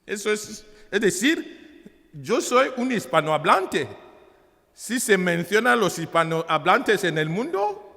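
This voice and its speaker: High-voiced man in an echoey hall. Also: big pitch swings, creaky, bright, forceful tone. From Africa. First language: not Spanish. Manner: emphatic, halting, repeating phrases. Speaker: priest and author presenting a book. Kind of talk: public talk, preaching and persuasive. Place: hall at a book presentation. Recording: echoey hall with long reverb.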